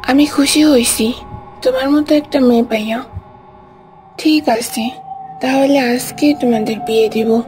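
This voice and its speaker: Casting: woman playing a fussing old village mum for a cartoon, high voice, music behind. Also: fairly even intonation, unhurried, pauses often, darkish tone. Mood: kindly, coaxing. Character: kindly elderly village mother fussing over her son. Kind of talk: cartoon story acting.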